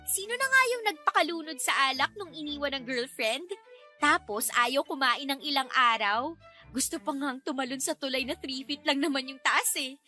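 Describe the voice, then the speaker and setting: A young Filipina radio drama heroine, quick to banter and in love. Her voice sits high and rings bright and forward. The Filipino-accented speech is expressive and colloquial, with music underneath. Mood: feisty, teasing.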